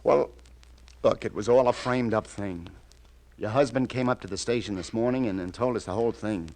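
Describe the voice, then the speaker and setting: An actor playing an awkward, apologetic police lieutenant in a 1950s radio crime drama, with a hesitant, stammering delivery. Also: male voice, lowish voice, brisk, a little mellow, lightly acted, poor audio. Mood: flustered. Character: awkward, apologetic police detective lieutenant breaking bad news.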